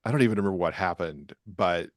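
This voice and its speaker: A man with a low bass voice talks casually as a podcast guest, recorded dry and close. His manner is self-deprecating and conversational. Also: fast, no room sound. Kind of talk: casual chat.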